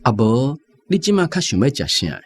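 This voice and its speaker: An actor with a Taiwanese accent playing a dutiful grown son in a radio drama. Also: male voice, mid-range voice, big pitch swings, normal speed, slightly rough. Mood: concerned. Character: dutiful grown son consulting family about ailing father. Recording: music behind the voice.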